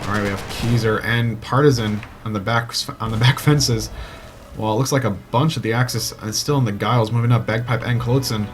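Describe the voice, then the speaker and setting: A man giving live play-by-play commentary on an online shooter match, getting excited at kills and stumbling over player names. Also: mid-range voice, a little fast. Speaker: esports shoutcaster. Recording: loud game music and gunfire behind.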